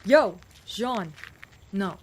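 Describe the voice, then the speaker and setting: An actress playing a weary, bossy survivor of a zombie apocalypse in an audio drama, talking in a curt, dry, commanding way. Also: female voice, medium pitch, animated, drawn-out words, husky, heavily acted, low-quality recording. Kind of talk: scripted audio drama acting.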